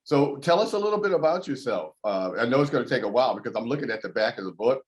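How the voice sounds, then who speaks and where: A man's voice: mid-range voice, lively intonation, quick pace. A man hosting an interview show, chatty and friendly, laughing often. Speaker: host.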